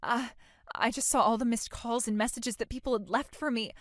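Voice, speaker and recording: A woman's voice: on the high side, brisk, no room sound, studio quality, lightly acted. A woman playing a self-centered young bride, whiny, oblivious and entitled, in a dramatized story. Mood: sulky disbelief. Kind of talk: dramatized phone-call story dialogue.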